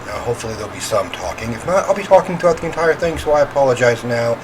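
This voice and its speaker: A man with a lively, wide-swinging delivery hosts a horror visual novel playthrough. He rambles casually and pokes fun at himself, shifting into read-aloud narration, with clear background noise on his home microphone. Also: mid-range voice, rapid-fire. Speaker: gaming host playing a horror visual novel. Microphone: standalone microphone at a desk. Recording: noisy home gaming recording.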